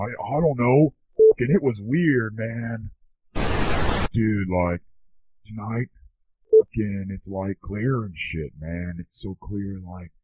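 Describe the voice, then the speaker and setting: Husky dude on a tinny phone line. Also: male voice, fairly low pitch. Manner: surfer-dude drawl, constant bro and man. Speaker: comedy podcast performer. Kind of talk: slangy comic anecdote monologue. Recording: thin, tinny phone-like sound.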